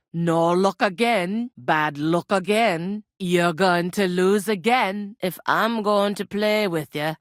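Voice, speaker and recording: A woman voicing a gruff, teasing medieval tavern innkeeper in a video game, with a blunt, folksy, scolding way of talking. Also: mid-range voice, dry recording, studio quality, lightly acted. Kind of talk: scripted game character dialogue.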